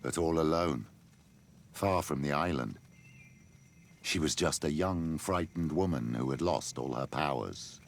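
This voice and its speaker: An actor playing a concerned male companion in a video game, with a gravelly voice. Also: rumbling low, a little slow, pauses often, heavily acted. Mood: sombre. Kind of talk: video game cutscene acting.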